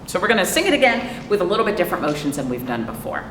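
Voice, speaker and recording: Expressive American storytime woman in an echoey room. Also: on the low side. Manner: expressive, asks listeners questions and pauses for answers. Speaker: librarian hosting children's storytime. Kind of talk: picture book read-aloud for young children. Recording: roomy, echoing, noisy, far from microphone.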